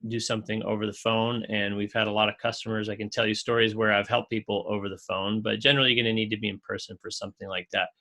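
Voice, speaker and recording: A man's voice: on the low side, pushed and bright. A man working as a home contractor, answering interview questions in an earnest, enthusiastic storytelling way.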